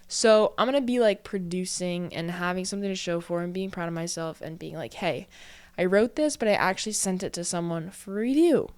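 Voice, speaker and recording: A woman's voice: on the low side, expressive, brisk, darkish tone, clean tone, no room sound. A woman in her early twenties hosting a casual solo podcast, talking in a chatty, self-reflective way full of "like" fillers and a little out of breath. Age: early 20s. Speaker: podcast host.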